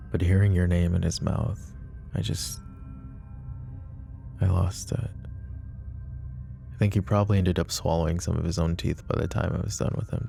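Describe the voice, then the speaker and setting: A man playing a possessive, protective mafia boss in an ASMR roleplay drama, with a deep, gravelly voice and a low, measured, quietly menacing way of talking. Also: exaggerated voice. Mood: brooding.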